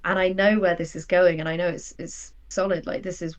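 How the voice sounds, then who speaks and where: A woman's voice: on the low side, flat delivery, fairly quick, dull audio. A woman speaking as a writer guest in a casual interview, telling stories and acting out her own thoughts in quoted asides. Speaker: guest, audio drama writer.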